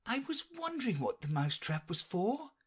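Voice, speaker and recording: Man putting on a high, husky, curious girl. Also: big pitch swings, moderate pace, exaggerated voice. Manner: polite, questioning. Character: polite, curious young girl in a storybook.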